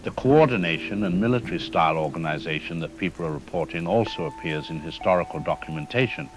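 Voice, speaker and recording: A man playing a grave academic historian in a radio drama, speaking in a formal, measured, lecturing way. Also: sits low, lively intonation, poor audio. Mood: grave, informative. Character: grave male academic historian expert on vampire folklore. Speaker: expert interview guest.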